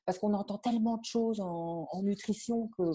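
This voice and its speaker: A woman, a nutrition coach interviewing a client with gentle, searching questions. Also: mid-range voice, normal speed, husky.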